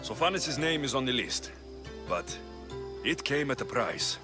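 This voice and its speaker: An actor playing a confident, wry mercenary hero of ancient Greece in a video game, blunt, dry and self-assured. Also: male voice, mid-range voice, sing-song, unhurried, over music. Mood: wry, impatient. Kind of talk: video game cutscene acting.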